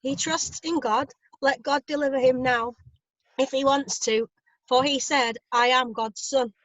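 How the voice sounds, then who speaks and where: Casting: scornful, scheming temple priest played by a woman, high pitch, dry close sound, scripture drama. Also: steady tone, measured pace, a bit bright, no echo. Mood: mocking. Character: mocking, scheming chief priests of ancient Jerusalem. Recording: slightly muffled, dry recording.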